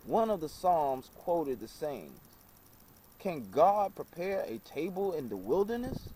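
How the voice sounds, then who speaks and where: A man's voice: on the high side, sing-song, slow pace, warm tone, pitched above the performer's own voice, heavily acted, low-quality recording. A man voicing a reverent, eager old Syrian guest in a recited story, solemnly and earnestly explaining a psalm. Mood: earnest teaching.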